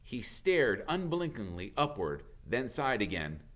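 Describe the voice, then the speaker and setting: A man's voice: medium pitch, very animated, unhurried, a bit bright. A man reading a storybook aloud as a teacher would, in dramatic narration.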